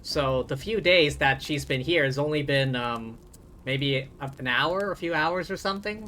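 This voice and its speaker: A man's voice: on the high side, moderate pace, bright, forceful tone. A man streaming a video game, giving casual live commentary, musing aloud in a jokey way.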